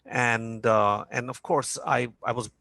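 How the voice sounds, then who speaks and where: Man from Norway, halting speech full of fillers, dry close sound, founder on a panel. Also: medium pitch, fairly even intonation, creaky, sharp tone, dry recording. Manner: hesitant, many fillers and restarts. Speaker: company founder. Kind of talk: business panel talk.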